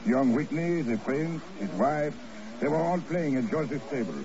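A jovial ex-bouncer turned French casino manager with a very animated, somewhat high voice. He relishes every phrase with courteous effusion and a French accent. It is a muffled 1950s radio drama. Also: unhurried. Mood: gleeful, relishing. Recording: muffled old radio recording, crowd murmur.

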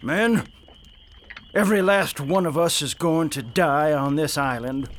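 An actor playing a fanatical, ruthless Confederate colonel, delivering grandiose oratory in a radio drama. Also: male voice, a little high, fairly bright tone, slight background noise. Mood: fervent, rousing. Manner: grandiose oratory, slow and fervent. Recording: music under the voice.

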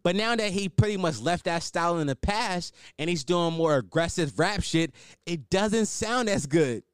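A young man with a high voice talks fast and bluntly on his own podcast, swearing and riffing as he goes. His pitch leaps all over the place. The sound is dry and close, with no room around it. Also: animated, clear, resonant tone, dry recording. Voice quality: higher-pitched voice. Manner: opinionated, blunt, swears, restarts and riffs. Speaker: podcast host. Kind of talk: solo podcast commentary.

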